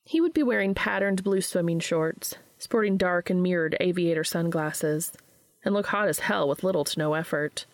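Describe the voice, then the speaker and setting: A woman's voice: fairly low pitch, moderate pace, dry recording. A woman hosting and reading fan fiction aloud as scripted narration. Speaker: podcast host and reader.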